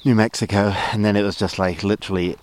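Very deep-voiced tired man on trail. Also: quick pace. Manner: relaxed, swears casually. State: tired from hiking. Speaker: hiker vlogging a thru-hike. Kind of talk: casual trail diary chat. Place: outdoors at a campsite. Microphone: clip-on mics.

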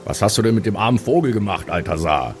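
Cleric for a fantasy audio play played by a man: lower register, weary, measured, grudging, mildly exasperated. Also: animated, faint music behind, close to an everyday voice. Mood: concerned. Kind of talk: pen-and-paper audio play role-playing.

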